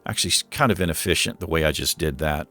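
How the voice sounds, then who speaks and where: A man casually commenting on his city-building game while he plays, thinking aloud with lots of fillers, his voice going hoarse. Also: very deep voice, fast, pushed and bright, faint music behind. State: losing his voice. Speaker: gaming channel host.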